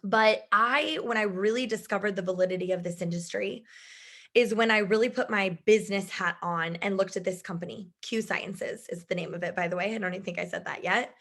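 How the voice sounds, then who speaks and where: Woman in her 30s, chatty video call pitch, bubbly, voice strained from shouting. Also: fairly quick. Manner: bubbly, chatty, laughs often. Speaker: business presenter.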